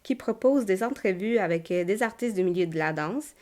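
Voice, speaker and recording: A woman's voice: medium pitch, expressive, normal speed, dark tone. A woman with a Québécois French accent hosting a podcast, reading a scripted intro, then chatting with many fillers and restarts. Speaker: podcast host.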